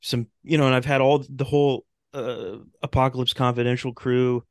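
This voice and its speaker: A man's voice: medium pitch, little pitch movement, normal speed, dry recording. Male podcast guest, clean resonant voice, dry sound, casual and rambling delivery. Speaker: podcast guest, talk show host and radio drama maker. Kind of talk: casual interview chat.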